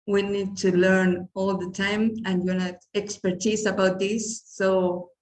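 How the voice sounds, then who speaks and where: A woman's voice: mid-range voice, roomy sound, poor audio. A woman from Argentina, head of studies at a school, introducing herself on a video call. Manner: slow, warm greeting. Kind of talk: online meeting self-introduction.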